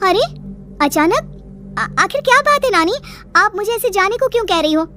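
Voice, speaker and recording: A woman performs a young granddaughter in a cartoon horror tale. Her voice sits very high, pleading and insistent. She questions like a frightened girl, with faint music behind her. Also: animated, moderate pace, pushed and bright, exaggerated voice.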